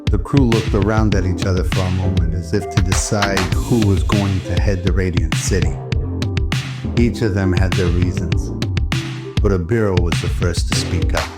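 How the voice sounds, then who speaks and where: A man's voice: low-pitched, fairly even intonation, moderate pace. A man giving scripted dramatic narration in the style of an old radio drama. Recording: loud music under the voice. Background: background music.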